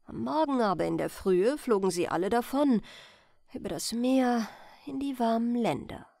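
A woman with a slightly high voice narrates a bedtime story for an audiobook. Her delivery is expressive, with plenty of melodic movement. The recording is close and dry. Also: normal speed, creaky, no room sound. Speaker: audiobook reader. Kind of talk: scripted bedtime fairy-tale narration.

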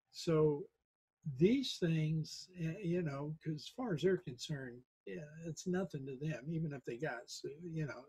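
A man's voice: a little high, mellow, husky, rough recording. A man in his seventies, talking to camera from his living room, earnestly urging and lecturing his listeners, repeating points for weight. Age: 70s. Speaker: podcast host. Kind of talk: earnest direct-to-camera appeal. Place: home living room, indoors.

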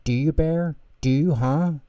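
A man's voice: fairly high pitch, a little slow, dark tone, no echo, highs cut off, pitched above the performer's own voice, close to an everyday voice. A man voicing playful young otters in a children's storybook, eager, needy and insistent. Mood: eager, worried. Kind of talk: children's storybook character reading.

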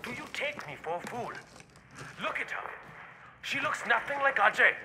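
An actor playing a furious, accusing betrayed husband in a video game drama. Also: male voice, very high-pitched, big pitch swings, moderate pace, full character voice. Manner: heated, accusing, contemptuous. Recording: long reverb, faint noise.

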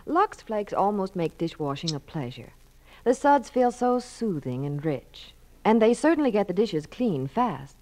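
Animated 1940s radio ad woman. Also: mid-range voice, unhurried. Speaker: radio commercial presenter. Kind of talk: 1940s scripted radio advertising. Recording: vintage 1940s radio broadcast.

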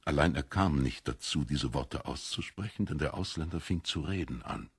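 A man narrating a literary audiobook in an expressive, scripted reading style. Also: a bass voice, moderate pace, vocal fry, breathy edge, no echo, studio quality.

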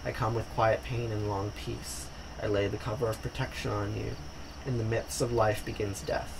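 A young man voicing Death as a dark male stranger, cold and stern, pronouncing his words flatly. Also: on the low side, moderate pace, creaky, noisy background.

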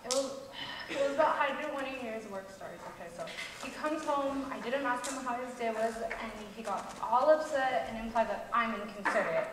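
An insecure, upset young girlfriend in a stage play, acted by a teenage girl, with a highish voice. She is heard from far off in an echoey, noisy auditorium. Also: a little fast, close to an everyday voice. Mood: defensive. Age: teens. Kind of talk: high school stage play acting.

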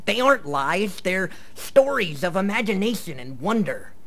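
A man's voice: sits high, sing-song, normal speed, fairly bright tone, full character voice. An actor playing a nerdy teenage boy in a radio play, earnest and defensive, hesitant and wordy. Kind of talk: live radio play acting.

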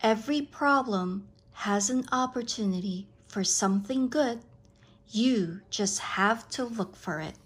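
A woman reading a picture book aloud to children, with expressive, deliberate, dramatic phrasing. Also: fairly high pitch, husky.

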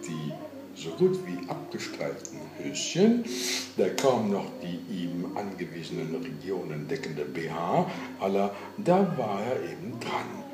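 An older man giving a dramatic, halting and deliberate reading of literary prose in a room at home. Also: sits low, sing-song, echoey room, music in the background. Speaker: literary reader. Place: living room at home, indoors.

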